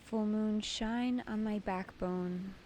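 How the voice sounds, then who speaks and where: A woman leading a guided meditation as its host, giving soothing, deliberate instructions in short phrases. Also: mid-range voice, steady tone, dark tone, slight background noise.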